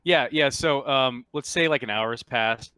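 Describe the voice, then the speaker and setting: A man's voice: medium pitch, moderate pace, bright, forceful tone, clean tone, no room sound. A man running a tabletop roleplaying game, narrating and explaining casually to his players as game master.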